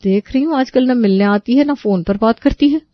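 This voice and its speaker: Woman acting as gossipy older aunty, slightly high voice, dramatic complaining manner, dull lo-fi recording. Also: normal speed, no room sound, natural voice. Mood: friendly, curious. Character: exasperated, gossipy older Indian mother-in-law and her aunty friend. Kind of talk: acted audio story dialogue.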